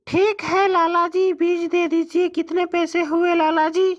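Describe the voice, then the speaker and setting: A woman plays a poor young farmer in a moral-story cartoon. The voice is high and quite monotone, respectful and humble, with an earnest, plain delivery. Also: measured pace, muffled recording. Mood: polite, earnest.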